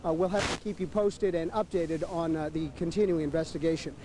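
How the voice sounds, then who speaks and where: A man's voice: fairly high pitch, fairly even intonation, a little fast, noisy background. A man giving a live television field report from outdoors by a roadside. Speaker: TV news reporter.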